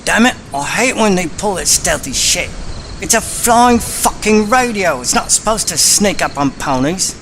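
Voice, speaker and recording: A man's voice: high voice, animated, normal speed, a bit bright, a little hiss or hum, pitched above the performer's own voice. A man voicing a crude, jumpy, sneering unicorn slaver thug in an audiobook. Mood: startled, angry. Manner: sneering, foul-mouthed. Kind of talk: audiobook character voice.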